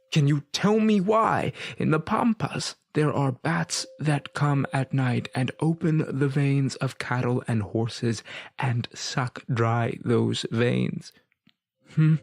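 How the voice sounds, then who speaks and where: An actor playing a learned older vampire hunter in a gothic audio drama, grave and insistent, with deliberate, emphatic, questioning speech. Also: male voice, fairly high pitch, creaky, full character voice. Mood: insistent, probing.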